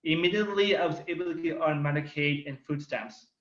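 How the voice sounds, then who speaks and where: A young man with Thai as his first language, speaking slightly high, tells his own story as a testimony speech. He is earnest and emphatic, drawing out the words he wants to land. The recording is roomy and a little dull. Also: brisk, a bit bright. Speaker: community speaker.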